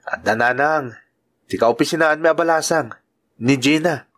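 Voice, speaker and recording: A man's voice: on the high side, moderate pace, fairly bright tone, lightly acted. A man with an Ilocano accent playing a good-natured bachelor uncle and devoted son in a radio-style drama. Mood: earnest.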